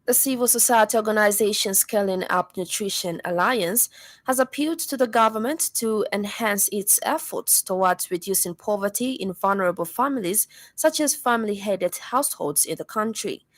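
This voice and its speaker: A woman with a Zambian accent reads a scripted radio news bulletin in a formal, measured manner. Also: mid-range voice, lively intonation, no room sound. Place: radio studio.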